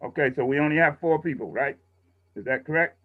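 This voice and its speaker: A man's voice: fairly high pitch, brisk. A man instructing a class in a casual, patient, step-by-step way over a video call. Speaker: instructor leading a radio training class. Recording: muffled, roomy video call audio.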